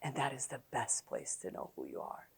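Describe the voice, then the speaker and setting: Low-voiced woman from Serbia, American-tinged. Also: big pitch swings, vocal fry, husky. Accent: American-tinged English. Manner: blunt and open, halting with many fillers. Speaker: psychologist and conference speaker. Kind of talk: conference talk.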